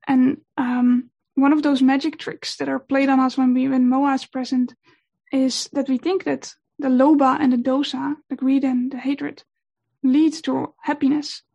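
A woman whose first language is Dutch gives a gentle, unhurried talk on meditation, pausing often with fillers. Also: sits high, flat delivery, vocal fry. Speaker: meditation teacher.

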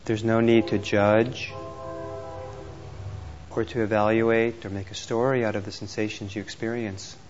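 A man teaching meditation, gently and unhurriedly guiding a group with pauses between instructions. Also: on the low side, little pitch movement, faint music behind. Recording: dull lo-fi audio with clear background noise.

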